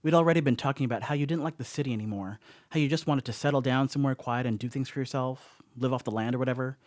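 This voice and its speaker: A man's voice: medium pitch, fast, no room sound, close to an everyday voice. Rattled, rambling slacker played by a man, dry close sound. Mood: uneasy, wry. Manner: conversational, wry, rambling confession. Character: rattled, rambling, wry slacker man confessing a frightening night. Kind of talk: first-person monologue in a horror comedy radio play.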